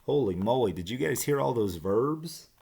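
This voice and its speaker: A man's voice: medium pitch, normal speed, creaky, slight background noise. A man teaching young children, reading a picture book expressively and chatting informally with his listeners. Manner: expressive rhyming delivery, chatty asides addressing children. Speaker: elementary school teacher reading aloud.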